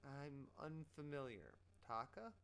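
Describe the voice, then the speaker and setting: A man's voice: medium pitch, animated, slow pace, gravelly, a bit bright, a little hiss or hum. A man hosting a casual live-stream movie night, bantering and teasing his guest.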